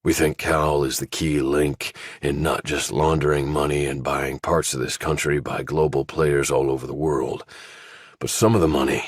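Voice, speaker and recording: A man voicing a stern retired general who runs a covert office, speaking in a commanding, deliberate way as audiobook dialogue. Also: a bass voice, husky, no echo, clean studio sound.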